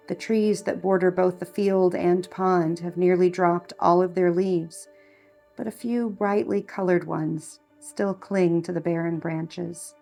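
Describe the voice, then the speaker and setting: A woman reading a scripted guided meditation in a calm, measured, hushed way, as a narrator leading the listener. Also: lowish voice, vocal fry.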